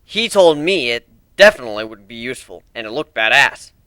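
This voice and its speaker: A man's voice: medium pitch, very animated, brisk, bright, forceful tone, smooth, no echo. A man giving a dramatic first-person narration of a fantasy action story.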